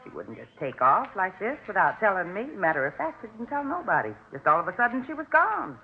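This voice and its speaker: Creaky, chatty older neighbour lady. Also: mid-range voice, very animated, brisk. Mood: chatty, worried. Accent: American. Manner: talkative, folksy, emphatic. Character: chatty, insistent older neighbour woman, folksy and fretful. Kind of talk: 1950s radio drama acting. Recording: tinny, noisy 1950s radio recording.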